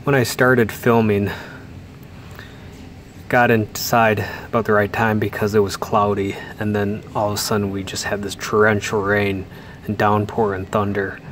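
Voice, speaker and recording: A man with a low, even voice gives a relaxed walking-tour commentary as he films inside a large, mostly empty mall. He speaks in a flat, unhurried way and pauses as he goes. A faint hum of indoor ambience sits behind him. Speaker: mall explorer vlogger.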